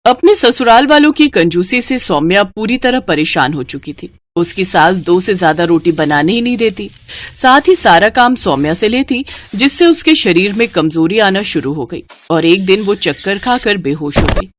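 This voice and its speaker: A woman's voice: medium pitch, expressive, normal speed. A woman reading scripted narration for a family moral story.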